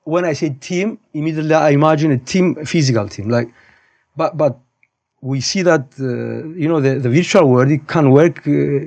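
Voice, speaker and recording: A man in his fifties with a Cypriot accent speaks in a fairly high register. He talks casually as a podcast guest, giving firm opinions, wandering off and restating his points. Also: normal speed, gravelly, darkish tone. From Cyprus. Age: 50s. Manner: opinionated, digressive, repeats and rephrases points. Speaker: podcast guest, high school physics teacher. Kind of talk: casual conversational interview.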